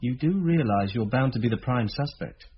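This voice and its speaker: An actor playing an authority figure questioning someone in a radio drama, formal, controlled and probing. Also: male voice, mid-range voice, lively intonation, normal speed, a little hiss or hum, close to an everyday voice. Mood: probing, stern. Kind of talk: radio drama interrogation dialogue. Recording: dull, muffled radio recording.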